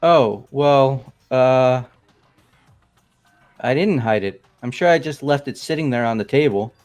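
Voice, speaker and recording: A man's voice: mid-range voice, unhurried, a little mellow, soft background music, close to an everyday voice. A man voicing a nervous young man who rambles defensively through a confession, as fan voice acting of a game. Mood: resigned.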